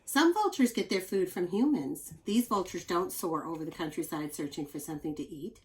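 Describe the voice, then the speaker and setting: A woman reading a children's nonfiction book aloud like a teacher, expressive and explanatory, adding chatty asides for young listeners. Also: fairly low pitch, moderate pace, poor audio.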